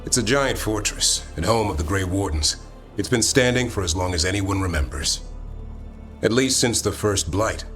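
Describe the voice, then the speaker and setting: An actor playing a wry, earnest warrior of an honorable fantasy order in a video game, with dry, measured delivery. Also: male voice, sits low, animated, a bit bright, faint music behind. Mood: wry, relaxed.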